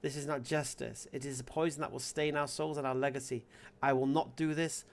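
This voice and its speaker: A man's voice: medium pitch, little pitch movement, brisk, vocal fry, close to an everyday voice. Man reading as solemn, protective pregnant wife. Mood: solemn, hurt. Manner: earnest, formal, poetic speech.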